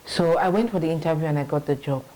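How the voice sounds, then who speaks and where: Mellow-voiced woman, reflective. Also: sits low, quick pace, slight background noise, low-quality recording. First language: English. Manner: reflective, hesitant restarts, frequent "you know", laughs easily. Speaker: interview guest, marketing manager. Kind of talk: conversational interview.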